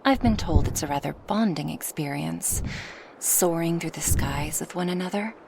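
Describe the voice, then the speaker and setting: A woman's voice: sits low, normal speed, gravelly, background noise. A woman voicing a huge, proud dragoness in an ASMR roleplay, speaking formally to her human, teasing yet protective, at times whispery. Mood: eager, explaining. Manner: slightly formal phrasing, teasing, reassuring. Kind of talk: ASMR roleplay monologue addressed to the listener.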